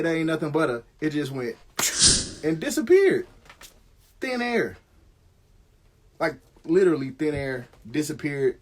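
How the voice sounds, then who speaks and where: A middle-aged American man telling stories casually on a live stream, slangy and conversational, swearing freely. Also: on the high side.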